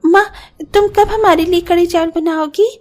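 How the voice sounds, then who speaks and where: A small, hungry cartoon child in an extremely high voice. The child sounds plaintive and whiny, always pleading. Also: female voice, fairly even intonation, moderate pace. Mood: whining, sulky. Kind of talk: cartoon story voice acting.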